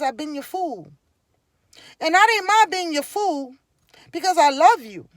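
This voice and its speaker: A woman's voice: very high voice, dry recording, clean studio sound. A woman from Liberia with a Liberian accent, telling her own story to camera in an emphatic, repetitive, preaching way. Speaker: vlogger. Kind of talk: casual personal storytelling to camera.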